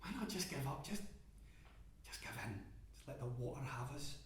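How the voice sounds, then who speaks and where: An actor playing a gruff rig worker who fights to survive, swearing hard and swinging between despair and defiance. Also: male voice, high-pitched, lively intonation, moderate pace, a bit bright, heavy reverb, a little hiss or hum. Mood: brooding. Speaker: oil rig worker in a stage drama. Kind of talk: dramatic monologue acting.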